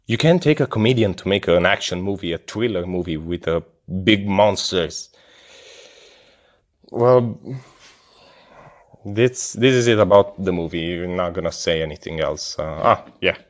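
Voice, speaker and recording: A man from Italy with an Italian accent, a native Italian speaker, giving a casual, unscripted review as a video host in hesitant, halting, apologetic English. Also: low-pitched.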